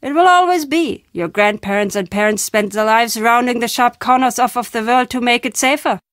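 Video game role, for an actress: elderly woman storyteller, brooding and wry, slightly high voice, close dry recording. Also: moderate pace, fairly bright tone, no echo, close to an everyday voice. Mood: grave, foreboding. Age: older. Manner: dramatic, emphatic storytelling with foreboding turns.

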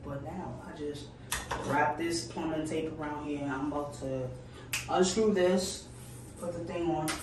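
A woman's voice: sits low, steady tone, measured pace. Young woman vlogger in an echoey room. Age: young adult. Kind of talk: casual chat to camera. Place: small tiled home bathroom. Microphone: camera a few steps away. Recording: echoey bathroom, distant mic, background noise.

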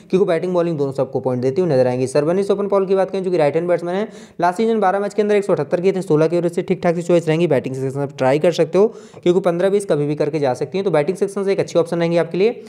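A man with an Indian accent giving an informal, brisk fantasy cricket preview as a channel host, running through player statistics. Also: high-pitched, warm tone. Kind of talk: informal match preview commentary over on-screen stats.

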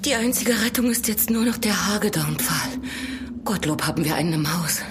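An actress in a German horror radio play as a fearful young mountain woman, hushed, sorrowful and resigned. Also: mid-range voice, animated, normal speed, noisy background, natural voice. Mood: frightened, sorrowful.

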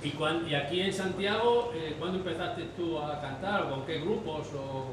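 A man's voice: fairly high pitch, normal speed. A man, interviewing with teasing, chatty questions. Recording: very roomy, distant, noisy.

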